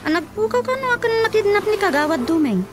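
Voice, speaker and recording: An actress playing a gossipy, excitable village woman in an Ilocano radio drama. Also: very high voice, fast. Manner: gossipy, exclaiming. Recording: radio drama with music behind.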